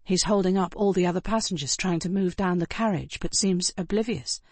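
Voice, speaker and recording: A woman reading scripted first-person fiction as an audiobook narrator, in an expressive, dramatic way. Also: fairly low pitch, brisk, husky, no echo.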